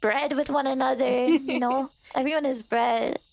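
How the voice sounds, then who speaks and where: A young woman co-host chats loosely, trailing off and giggling between thoughts. Her voice sits high and carries a gravelly fry. She piles on fillers as she rambles. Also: steady tone, normal speed, darkish tone. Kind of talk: casual chat.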